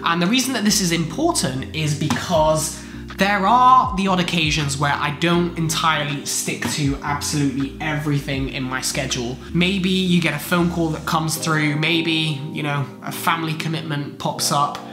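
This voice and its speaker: A man's voice: on the high side, moderate pace. A young man in his twenties, congested from a chest infection, talking casually to camera in a home study room about his weekly routine, gesturing a lot. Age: 20s. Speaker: medical student vlogger. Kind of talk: casual talking-head vlog. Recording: roomy, with background music.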